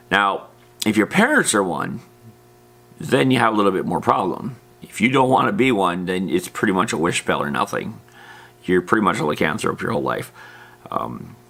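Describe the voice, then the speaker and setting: Guy with a lowish voice hosting a casual explainer, kinda rambly, no script, just matter of fact. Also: vocal fry, pushed and bright. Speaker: host of a tabletop roleplaying lore channel.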